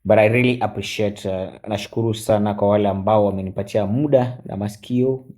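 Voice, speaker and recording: A man in his forties, chatting on a live stream as a guest, halting with many fillers and switching into Swahili. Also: fairly low pitch, fairly even intonation, darkish tone. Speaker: guest mentor. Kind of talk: casual live-stream chat.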